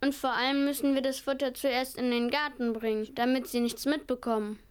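Female player as cheeky, high-pitched young sibling. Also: fairly even intonation, brisk, close to an everyday voice. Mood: decisive. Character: young child Micha, cheeky, eager plotting sibling. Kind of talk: children's radio play acting.

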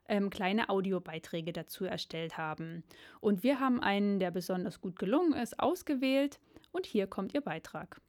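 A woman hosts a radio programme, speaking at a medium pitch. She explains the background and links the recorded pieces for listeners. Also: darkish tone. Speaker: host presenting a student radio segment. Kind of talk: presenting and linking audio segments.

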